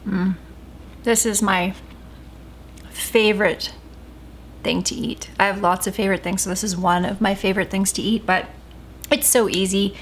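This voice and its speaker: A woman chatting casually as she cooks in her home kitchen for a vlog, relaxed, with a sore throat from talking all day. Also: medium pitch, normal speed, long pauses, a little hiss or hum. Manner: chatty, relaxed, with filler ums. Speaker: vlogger cooking at home. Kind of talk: casual cooking vlog chat.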